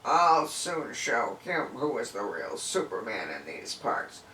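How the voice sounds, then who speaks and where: A man's voice: medium pitch, big pitch swings, slow, sharp tone, voice pushed down, heavily acted. A man voicing a boastful, jealous husband in a folk tale, blustering and emphatic. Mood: indignant. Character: boastful, jealous husband who thinks himself mighty. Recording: distant, echoey room with faint noise.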